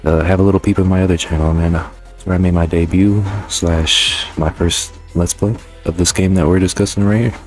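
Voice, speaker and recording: A man with a low voice hosts a video game review. He talks casually and informally with plenty of slang, conversational throughout, over a faint bed of music. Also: fairly even intonation, brisk. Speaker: host of a game review channel.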